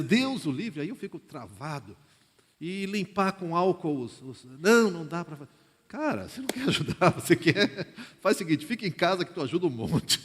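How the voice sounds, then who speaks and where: A Brazilian man preaches in a colloquial, conversational style, slipping into short imagined exchanges to make his point. His voice sits a little higher than most men's. Long reverb from a large church hall surrounds every phrase. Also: husky. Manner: colloquial, direct, acts out short imagined dialogues. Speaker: pastor preaching to a congregation. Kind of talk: informal conversational sermon.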